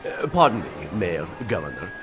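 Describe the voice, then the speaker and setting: A flamboyant villainous socialite host for a game. His voice swoops extravagantly as he fawns with oily, theatrical flourishes. Music plays loudly behind him. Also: low voice, sing-song, moderate pace. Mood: smooth, fawning. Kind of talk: video game cutscene acting.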